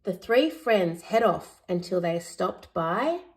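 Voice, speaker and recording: A woman narrating a children's picture book as an educator, in a deliberate, expressive storytelling manner. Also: lowish voice. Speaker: educator reading picture books aloud.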